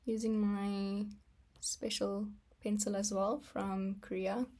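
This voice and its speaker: Young woman, first language Afrikaans, relaxed rambling artist vlogger; unhurried, mellow, lots of ums. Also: mid-range voice. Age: young adult. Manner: rambling, soft-spoken, with frequent ums and small laughs. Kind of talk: casual rambling vlog while stamping and sketching.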